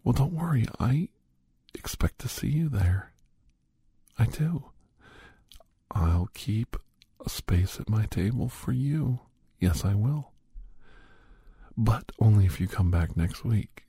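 A middle-aged man hosting a whispered ASMR roleplay, chatting softly and gently teasing the listener as a caregiver. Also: on the low side, lively intonation, slow pace, pauses often, breathy edge, no echo. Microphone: close microphone.

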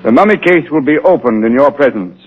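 Husky, formal museum official. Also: male voice, medium pitch, lively intonation, brisk. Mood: formal, assured. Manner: formal, firm. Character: formal, businesslike male museum official addressing reporters. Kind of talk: 1930s radio drama acting. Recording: dull, husky-sounding 1930s radio recording.

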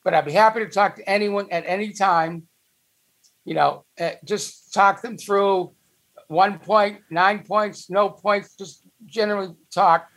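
Friendly Boston-accented man, gravelly voice. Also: sits high, fairly even intonation, unhurried, fairly bright tone. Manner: friendly, rambling, eager to help. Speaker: guest. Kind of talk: casual interview talk.

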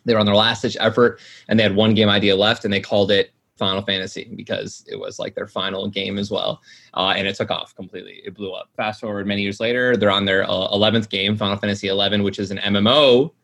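Guy in his late 20s telling a long story, low voice but bright and forceful, keeps restarting. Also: little pitch movement, fast talker. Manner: rambling, many fillers and restarts. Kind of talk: casual chat.

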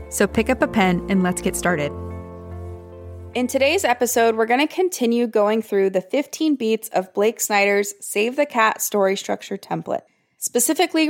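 Slightly hoarse woman podcast host. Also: mid-range voice. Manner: upbeat, clear step-by-step explaining. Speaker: podcast host and writing coach. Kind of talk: scripted podcast teaching.

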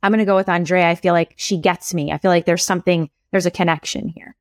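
A woman's voice: fairly low pitch, steady tone, fast, no echo, studio quality. A woman talking as a guest on a podcast, a copywriter and storytelling coach, chatting in a loose way full of filler words. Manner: chatty, lots of "like" and "you know" fillers. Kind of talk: casual podcast conversation.

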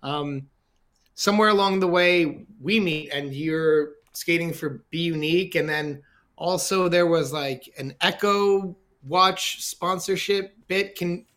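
A man with a fairly high voice hosts a casual interview podcast. He speaks in a chatty, conversational style, circling around his questions in a rambling way. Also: slow, fairly bright tone. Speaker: podcast host.

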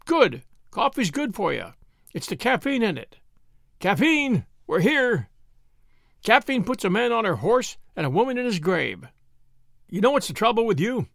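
A man's voice: sits high, very animated, vocal fry, a bit bright, no echo, studio quality, voice pushed up, heavily acted. A man voicing a teasing, exuberant male friend in an audiobook, needling in a mock-grandiose way. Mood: exuberant ranting. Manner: mock-grandiose, needling, rapid-fire exclamations. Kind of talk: audiobook character dialogue.

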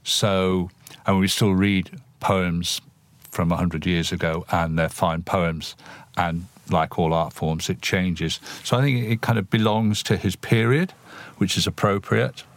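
A man with a deep voice talks as a guest in a casual discussion. He is thoughtful and a little tentative, checking for agreement as he goes. The sound is very dry. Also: steady tone, unhurried, no room sound. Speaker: poet, podcast guest.

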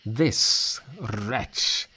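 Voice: mid-range voice, very slow, bright, forceful tone, slight background noise. A storybook narrator voicing a stern male heavenly warlord who is contemptuous and commanding. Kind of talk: storybook character voice.

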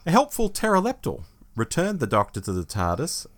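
A man hosting a podcast, narrating a scripted plot summary and reading out headings with deliberate emphasis. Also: a little high, wildly swooping pitch. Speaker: podcast host.

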